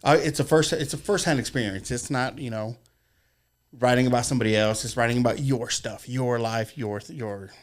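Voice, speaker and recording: A man's voice: medium pitch, brisk. Casual rambling podcast host, man. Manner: loose and rambling, repeats words. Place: podcast studio.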